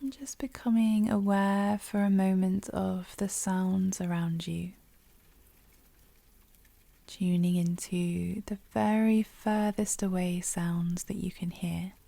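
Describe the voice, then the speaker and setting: A woman leading a guided yoga nidra meditation, speaking softly and soothingly with a breathy voice that often drops near a whisper. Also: mid-range voice, fairly even intonation, no echo. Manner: soothing, gentle, unhurried pauses.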